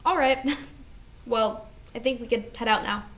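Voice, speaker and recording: Woman acting responsible grieving elder sister, composed, fairly high voice, stage drama reading. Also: unhurried, close to an everyday voice. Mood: conciliatory.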